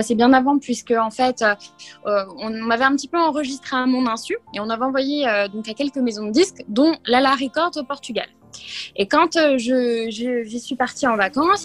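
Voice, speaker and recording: A young woman from Limoges, a singer answering radio interview questions, hesitant with many fillers and little laughs. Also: on the high side. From France, Limoges. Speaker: singer guest.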